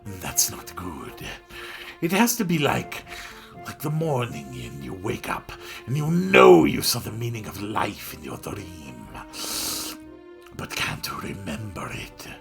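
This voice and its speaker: A man voicing a cryptic, exacting young woman painter in a slithery, breathy, slow voice, as in a visual novel reading. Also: on the low side, huge pitch swings, fairly bright tone, soft background music, exaggerated voice. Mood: dreamy, dissatisfied. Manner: slow, terse, dreamy and cryptic.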